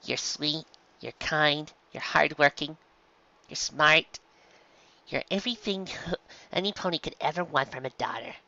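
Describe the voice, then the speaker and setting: A woman's voice: sits low, a little slow, pushed and bright, muffled recording, voice pushed up, exaggerated voice. A woman voicing a loving, protective pegasus mother in a story, speaking in a tender but firm maternal way. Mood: fierce, tender.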